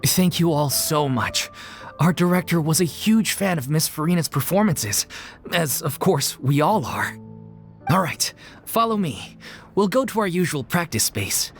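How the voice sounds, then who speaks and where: A man's voice: a little high, lively intonation, measured pace. A man playing an earnest, polite member of a theatre troupe in a video game. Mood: grateful. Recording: clear music behind the voice.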